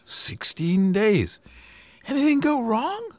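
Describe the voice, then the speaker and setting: A man voicing an amazed, fast-talking male New York newspaperman in a storybook reading. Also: huge pitch swings, darkish tone, no echo, full character voice. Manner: incredulous, squeaky high.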